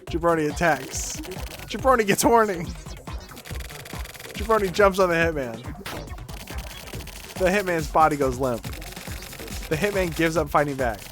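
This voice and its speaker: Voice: medium pitch, lively intonation, a little slow, long pauses, a bit bright, faint music behind. A video game streamer reading on-screen game text aloud in a theatrical manner during a livestream.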